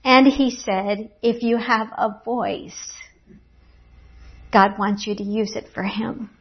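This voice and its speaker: Middle-aged woman Bible teacher with a fairly high voice, earnest and reflective, retelling her faith story on a muffled lo-fi recording. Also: fairly even intonation, slow, breathy edge. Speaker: women's Bible teacher and speaker. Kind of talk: personal faith testimony. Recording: dull lo-fi recording.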